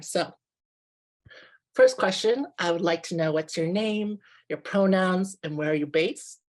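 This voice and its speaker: A man from Canada, presenting an online workshop in an upbeat, chatty way with lots of filler words over a video call. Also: fairly high pitch. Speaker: webinar presenter. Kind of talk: friendly online workshop talk.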